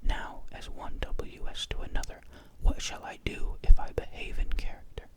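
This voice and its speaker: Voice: normal speed, natural voice. A storyteller whispering the part of a bitter, menacing male ex-policeman who sneers at the man who wrote him. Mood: bitter, sneering.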